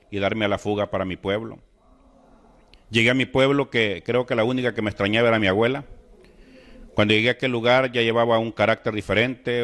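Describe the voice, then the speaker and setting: Salvadoran man, middle-aged, lowish voice, measured and solemn testimony delivery. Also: a bit bright. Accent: Salvadoran Spanish. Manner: slow, deliberate, solemn storytelling with emotional rises. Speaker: testimony speaker. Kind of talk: personal religious testimony.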